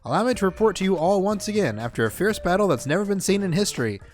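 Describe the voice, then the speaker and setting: A man's voice: high-pitched, very animated, fast talker, soft background music, pitched above the performer's own voice. Man doing a proud little rabbit mascot.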